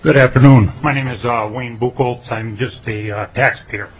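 Man, mid-pitched, indignant taxpayer testimony, faint room hiss. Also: steady tone, moderate pace, poor audio. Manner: indignant, emphatic. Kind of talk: public testimony. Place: legislative committee hearing room.

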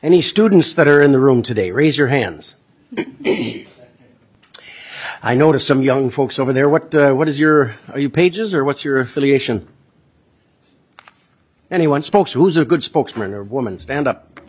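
A man chairing a legislative committee hearing, halting speech full of fillers. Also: mid-range voice, lively intonation, slightly rough. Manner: halting, many "uh" fillers, folksy.

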